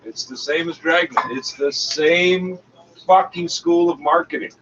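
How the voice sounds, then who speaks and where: A man's voice: on the high side, unhurried. Sardonic man, muffled and noisy. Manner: sardonic, blunt, swearing. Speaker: commentator reacting to a radio broadcast. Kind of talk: informal running commentary. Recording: muffled, noisy recording, off-mic.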